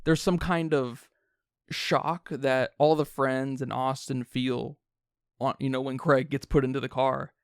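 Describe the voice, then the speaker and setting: A man's voice: medium pitch, steady tone, a little slow, smooth, no echo, clean studio sound. A man co-hosting a podcast, talking casually and analytically about film in wordy phrasing. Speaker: podcast co-host.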